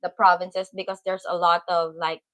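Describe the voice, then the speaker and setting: A woman's voice: on the low side, flat delivery, normal speed, fairly bright tone. A woman co-hosting a call-in livestream, reading out viewer comments in a casual chat. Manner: reads out viewer comments, laughs along. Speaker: livestream co-host.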